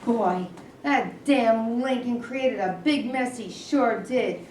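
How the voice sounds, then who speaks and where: A woman's voice: a little high, takes it slowly, darkish tone. A woman in a staged play reading, playing a hot-headed, hateful, griping teenage boy who is a Confederate private, in folksy speech. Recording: echoey room from far off, faint noise.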